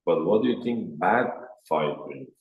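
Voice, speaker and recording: A low-voiced man with a Persian accent speaks slowly and deliberately, giving a marriage workshop as a rabbi. He talks in short plain sentences and jumps higher when he acts out what people say. His voice rings in an echoey room. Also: lively intonation, phone-quality sound. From Iran. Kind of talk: workshop lecture.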